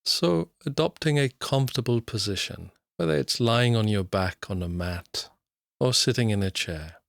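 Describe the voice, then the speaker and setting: Male mindfulness teacher, lowish voice, dry close sound; calm, slow guided meditation delivery. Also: vocal fry, dry recording. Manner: slow, calm, soothing, with long pauses. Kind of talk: guided body scan meditation.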